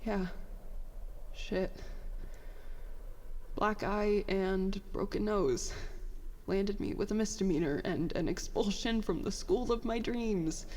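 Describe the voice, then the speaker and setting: A woman's voice: mid-range voice, slow, long pauses, a little mellow, long reverb tail. A woman playing a bitter, self-doubting young former prodigy in an audio drama, with a sarcastic, self-deprecating, rambling way of talking. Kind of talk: audio drama dialogue, confessional monologue.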